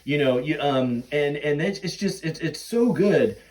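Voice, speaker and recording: A man hosting a podcast in an excitable casual fan chat, repeating and rephrasing himself as he goes. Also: a little high, a little slow, recorded from a distance. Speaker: podcast host.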